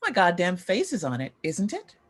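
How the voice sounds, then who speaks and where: A woman acting a defiant, sardonic former child star with a gravelly, husky voice, at a drama table read. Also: lowish voice, huge pitch swings, moderate pace, slight background noise. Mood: irritated.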